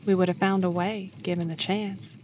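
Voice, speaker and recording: A woman's voice: lowish voice, little pitch movement, normal speed, darkish tone, natural voice. Woman acting frank older wife, faint hum behind. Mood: sombre, consoling. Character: older wife, grieving mother, frank and consoling. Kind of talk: audio drama dialogue.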